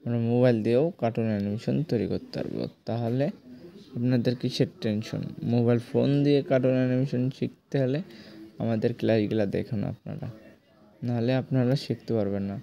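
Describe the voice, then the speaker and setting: Guy doing a drawing tutorial, kinda gravelly and dark toned, keeps repeating himself. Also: medium pitch, fairly even intonation, brisk, lo-fi sound. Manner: repetitive, coaxing, addresses viewers directly. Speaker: animation tutorial teacher. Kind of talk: screen-recorded drawing lesson.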